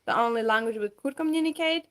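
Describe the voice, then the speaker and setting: Young greek-native woman on a farm livestream, high bright voice, giggly, keeps trailing off mid-thought. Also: fast. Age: 20s. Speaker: co-host of a homestead livestream. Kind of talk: casual livestream chat. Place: rural farmstead, outdoors then indoors.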